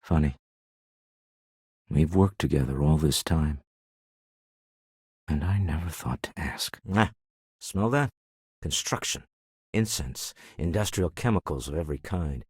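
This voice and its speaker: An actor playing a shrewd, proud older financier of space exploration in a video game, speaking in a dry, cultured, theatrical and measured way. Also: male voice, rumbling low, lively intonation, darkish tone, husky, no room sound, exaggerated voice. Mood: dry, composed. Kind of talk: video game character dialogue.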